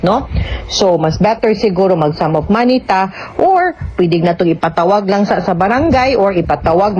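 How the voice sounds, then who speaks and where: A woman's voice: medium pitch, a little mellow. Cebuano Filipino woman, dull lo-fi radio sound. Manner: explanatory, often ends points with tag questions. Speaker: radio host and lawyer giving legal advice. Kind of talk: conversational radio legal advice, answering listener questions.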